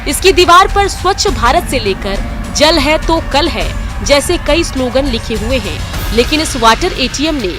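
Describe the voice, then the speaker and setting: Indian lady doing news voiceover, high pitched, music going behind her. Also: expressive, unhurried. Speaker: news narrator. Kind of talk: scripted TV news voice-over.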